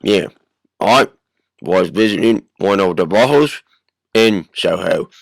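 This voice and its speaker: A man's voice: low voice, lively intonation, takes it slowly, vocal fry, no echo, full character voice. A man voicing a brutish, evasive criminal who blurts blunt, ungrammatical denials in a radio play. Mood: defiant.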